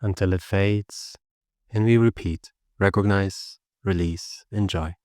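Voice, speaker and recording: A man leading a guided meditation as a teacher, speaking gently and instructively with long pauses. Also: low-pitched, fairly even intonation, no room sound, studio quality.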